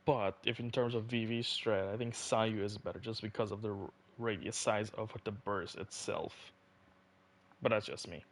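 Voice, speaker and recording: A man's voice: fairly low pitch, fairly even intonation, normal speed. A man giving casual live commentary as a game streamer. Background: game music behind the voice.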